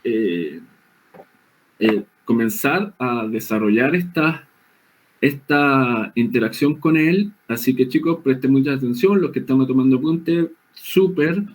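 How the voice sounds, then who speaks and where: A man hosts a professional program and speaks directly to his audience. He talks haltingly, filling his pauses with "eh." His voice sits at an ordinary middle pitch. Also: expressive. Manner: hesitant, many fillers, addresses the audience. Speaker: host. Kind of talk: hosting a professional talk show.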